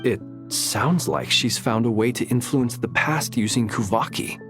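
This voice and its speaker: A man playing a courteous, composed gentleman in a video game, speaking with polite, dry understatement. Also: mid-range voice, unhurried, music in the background, lightly acted. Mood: thoughtful. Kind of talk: video game cutscene dialogue.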